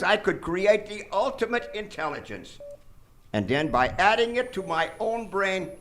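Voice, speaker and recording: A vain, grandiose mad-scientist surgeon, played by a man, with a high, bright, forceful voice. He boasts theatrically in radio comedy style, recorded live on a theater stage with clear background noise. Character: vain, megalomaniac mad brain surgeon, absurdly boastful villain. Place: theater stage before an audience.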